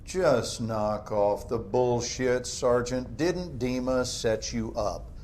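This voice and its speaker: An actor playing a cold, dismissive government interrogator in a game cutscene. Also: male voice, medium pitch, slow pace, darkish tone, a little hiss or hum, lightly acted. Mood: stern. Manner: clipped, dismissive, authoritative.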